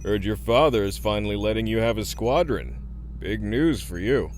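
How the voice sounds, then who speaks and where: A man's voice: lowish voice, moderate pace, a little mellow, a little hiss or hum, lightly acted. A man playing a swaggering, condescendingly familiar space officer in an audio drama. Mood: smug.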